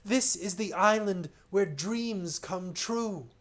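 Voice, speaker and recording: A man voicing a terrified, raving shipwrecked man who shouts and gasps in panic. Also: high voice, slow pace, pitched above the performer's own voice. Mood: frantic. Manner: frantic, shouting, gasping. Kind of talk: storybook reading.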